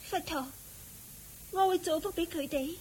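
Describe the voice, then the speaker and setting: An actress playing a sorrowful, humble young widow in a radio drama, plaintive and meek, with a Hong Kong Cantonese accent. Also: female voice, extremely high pitch, measured pace, darkish tone, a little hiss or hum. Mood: humble, grateful. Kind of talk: Buddhist radio drama acting.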